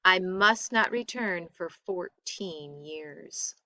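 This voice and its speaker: A woman's voice: fairly low pitch, slow pace, pushed and bright, no room sound. A woman voicing a noble, dutiful young prince in a storybook, firm and earnest. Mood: resolute.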